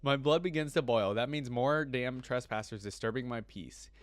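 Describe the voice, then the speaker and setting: A boastful, cranky little frontier warrior read out by a man tells his own storybook tale. He grumbles in folksy, grandiose phrases. The pitch is middling and the sound is close and dry. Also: moderate pace, no echo, close to an everyday voice. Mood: indignant. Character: cantankerous, boastful small male frontier warrior creature. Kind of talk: first-person story reading.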